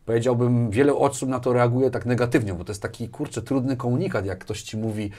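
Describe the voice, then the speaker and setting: A man in his forties with a medium-pitched voice speaks as an interview guest. He talks in a conversational way, animated yet hesitant, often repeating a word while he works out the thought. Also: quick pace. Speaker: interview guest, business manager. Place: small furnished indoor studio. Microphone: lapel mic.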